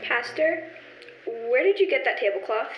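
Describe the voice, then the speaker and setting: A woman's voice: high-pitched, lively intonation, normal speed, highs cut off, faint music behind. A woman playing an earnest, courteous character in a sentimental old-time radio Christmas play. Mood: shaken. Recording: roomy recording with clear background noise.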